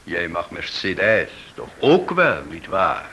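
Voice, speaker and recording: An actor playing a wry, shrewd writer and amateur sleuth in a radio drama, with a dry, probing manner. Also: male voice, deep voice, very animated, takes it slowly, bright, forceful tone, full character voice. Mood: frank, probing. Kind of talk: radio drama acting in Dutch. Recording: noisy old radio play recording.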